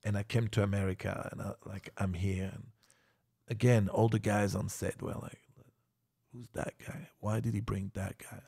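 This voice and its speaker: Gravelly French-accented man, blunt. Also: lowish voice, level tone, moderate pace, darkish tone. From France. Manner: blunt, laughs often, frequent fillers. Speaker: podcast guest. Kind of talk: casual interview chat. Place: small podcast studio indoors. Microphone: studio microphone, wearing headphones.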